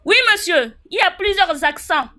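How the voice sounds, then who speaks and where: A woman's voice: very high voice, expressive, pushed and bright. A woman from Chad, arguing assertively in a live debate and firing off citations. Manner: assertive, rapid-fire citing of sources. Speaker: debate participant.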